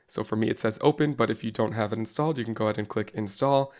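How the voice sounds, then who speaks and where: A man with a cold and a slightly raspy voice, talking casually and in a friendly way as he leads an online teacher course through a software tutorial. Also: on the low side, quick pace. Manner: casual, friendly walkthrough with frequent "go ahead" and fillers. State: under the weather, slightly raspy voice. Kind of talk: screen-recorded software tutorial.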